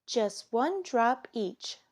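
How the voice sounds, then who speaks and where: A woman plays an affectionate storybook mom who is easily dismayed by mischief. Her voice is raised in pitch and swings expressively as she exclaims over her children. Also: animated, extremely slow, heavily acted. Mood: gentle.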